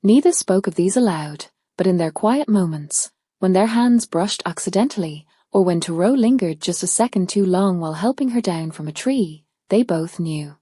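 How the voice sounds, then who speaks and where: A woman's voice: fairly low pitch, expressive, moderate pace, hardly any pauses, warm tone, breathy edge, dry recording, studio quality. A woman narrating a scripted storybook tale as a storyteller.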